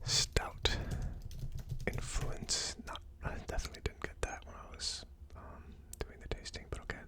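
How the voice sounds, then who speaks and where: A person whispering in a breathy, unvoiced ASMR style, casually murmuring through notes as the host of a tasting video. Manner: slow, murmured word-by-word listing while typing notes.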